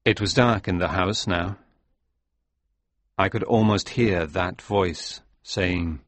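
A man playing a sombre, reflective sailor recounting his past in a dramatised audiobook, speaking in a measured, grave way. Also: low-pitched, fairly bright tone, no echo, full character voice. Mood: subdued, grave.

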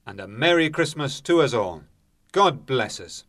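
A gentle, grateful father of a poor family, as a man puts him on. His voice is lively, with plenty of rise and fall, soft and kindly, and dry and close. A storybook reading. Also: mid-range voice, measured pace, no room sound, pitched above the performer's own voice. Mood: cheerful.

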